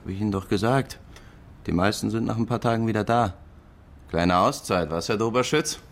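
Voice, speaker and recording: A man's voice: lowish voice, brisk, lightly acted. An actor playing a folksy East German policeman in a radio drama, casual and patronising in his official manner. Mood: breezy.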